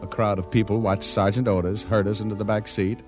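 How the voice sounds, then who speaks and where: An actor playing a cocky, sardonic American private detective in an old-time radio drama, breezy and wisecracking. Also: male voice, lowish voice, flat delivery, brisk, lightly acted. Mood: wry narration. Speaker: radio drama lead and narrator. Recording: vintage radio recording with music.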